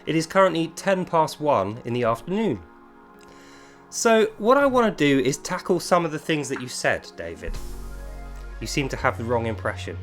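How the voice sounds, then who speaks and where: A man's voice: fairly high pitch, big pitch swings, normal speed, soft background music. A man from England with a British accent delivers a confrontational, insistent monologue as an online video creator, addressing one person directly with emphatic phrasing.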